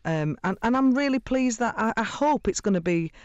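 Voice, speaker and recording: A woman's voice: on the high side, expressive, normal speed, a little mellow, no room sound, lo-fi sound. A middle-aged woman from Sheffield, an effusive singer chatting in a radio interview and laughing often. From Sheffield, England.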